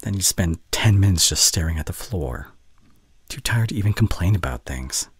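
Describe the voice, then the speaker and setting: A man playing a caring, worried boyfriend in an intimate audio roleplay, with a soft, breathy voice and tender, halting delivery close to the microphone. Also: low-pitched, animated, no room sound. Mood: concerned, tender. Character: caring, worried young boyfriend, gentle and earnest. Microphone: close studio condenser microphone.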